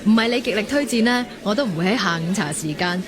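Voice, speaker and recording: Woman acting a lively young student over crowd chatter. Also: mid-range voice, fast talker, natural voice. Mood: playfully defensive. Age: young adult. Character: lively young female final-year university student, playful and wistful. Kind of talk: Cantonese radio drama acting.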